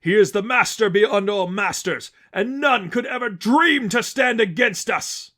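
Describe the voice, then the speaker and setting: A man's voice: sits high, lively intonation, a little slow, pitched above the performer's own voice, heavily acted. A man voicing a grandiose male terrorist zealot, ranting like a preacher in a story reading. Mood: gloating, fanatical. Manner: loud, grandiose preaching rant.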